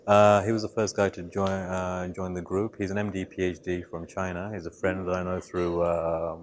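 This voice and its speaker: A man's voice: sits low, level tone, moderate pace, slight background noise. A man who was born in Malaysia and raised in the UK, a biology professor answering interview questions thoughtfully, with many fillers and restarts. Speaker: biology professor and lab head.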